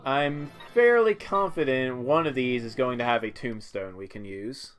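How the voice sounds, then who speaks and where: A man's voice: mid-range voice, normal speed. A man giving casual, offhand video game commentary with wry asides. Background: game music.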